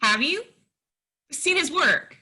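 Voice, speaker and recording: An actress plays a naive young country woman from a stage play. Her voice is high, bright and swoops up and down. She sounds timid and hesitant. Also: expressive, slow pace, heavily acted. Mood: timid, puzzled. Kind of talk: play reading.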